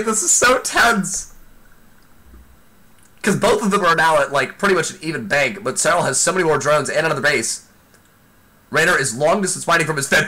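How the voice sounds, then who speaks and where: A man giving excited live play-by-play commentary on a video game match, exclaiming often, while losing his voice. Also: on the high side. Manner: excitable, exclaims often, talks fast in bursts. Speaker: esports commentator.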